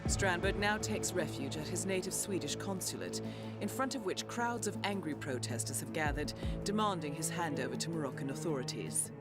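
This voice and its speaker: A woman playing a composed, professional handler who briefs an assassin in a measured, formal, articulate way for a video game. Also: sits low, expressive. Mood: measured, serious. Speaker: mission briefer. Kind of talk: scripted briefing narration. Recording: loud music under the voice.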